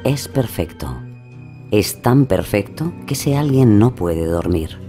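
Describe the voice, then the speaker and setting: An actor playing a brooding narrator, telling a crime tale in a deliberate, ominous dramatic style. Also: male voice, low-pitched, close to an everyday voice. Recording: music behind the voice.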